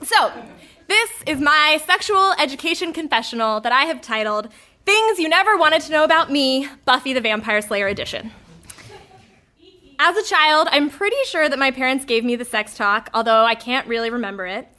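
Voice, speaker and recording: A woman's voice: high voice, animated, normal speed, bright, forceful tone. A woman in her thirties reading a comic confessional story in a candid, wry way, acting out the lines she quotes.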